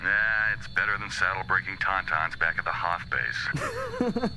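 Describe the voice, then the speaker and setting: Cocky sarcastic grumbly space captain, lowish voice, very animated, audio drama. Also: male voice, measured pace, slight background noise, exaggerated voice, low-quality recording. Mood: wry, grumbling. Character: cocky, grumbling, sardonic male smuggler turned rebel captain.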